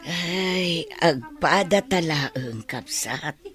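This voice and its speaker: A woman's voice: lowish voice, animated, extremely slow, a bit bright, faint music behind, exaggerated voice. A woman playing a weary, aging mother in a radio drama, sighing and sorrowful over her wayward son. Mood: weary, resigned.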